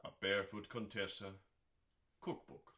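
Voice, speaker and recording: A man reading aloud as host in a calm, dramatic bedtime style, with deliberate theatrical pauses between short phrases. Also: fairly low pitch. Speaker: host and reader. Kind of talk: dramatic, calming audiobook-style cookbook reading.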